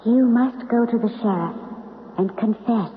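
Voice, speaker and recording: An actress playing a gentle but commanding otherworldly woman who coaxes slowly and solemnly, in old-time radio drama style. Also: fairly high pitch, narrow, tinny audio, lightly acted. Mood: solemn insistence. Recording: echoing, noisy, phone-thin old radio recording.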